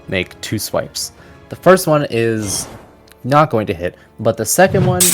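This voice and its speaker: A man's voice: fairly low pitch, creaky. A man narrating a tabletop fantasy game as its game master. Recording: music playing behind. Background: background music.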